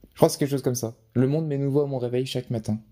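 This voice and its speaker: A man's voice: medium pitch, fairly even intonation, racing, dark tone. A young man answering interview questions in a casual, hesitant way, with frequent restarts and fillers. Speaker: podcast guest, photographer.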